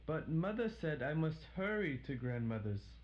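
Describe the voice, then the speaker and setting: Teenage girl, acted by a man, high-pitched, timid, earnest, anxious, storybook heroine, muffled sound. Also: sing-song, normal speed, a little mellow. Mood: uneasy. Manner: uneasy, earnest. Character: timid sixteen-year-old girl, dutiful and anxious.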